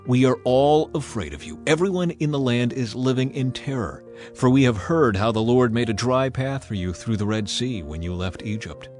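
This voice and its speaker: A man's voice: mid-range voice, moderate pace, darkish tone, soft background music, lightly acted. A man voicing an earnest, pleading woman of ancient Jericho in a declamatory scripture dramatization. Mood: fearful, pleading.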